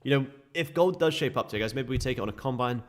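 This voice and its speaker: A man with a sore, croaky throat, casually walking viewers through live trading charts. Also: mid-range voice, quick pace, slight background noise. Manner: casual, chatty explaining to viewers. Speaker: day trading streamer.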